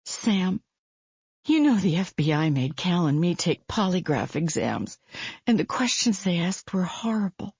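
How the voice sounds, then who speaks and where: A woman's voice: lowish voice, big pitch swings, a little slow, darkish tone, breathy edge, no room sound, dull audio, heavily acted. A woman reading a distraught young mother who blames herself, her voice pleading and near breaking. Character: distraught young mother, tearful and self-blaming.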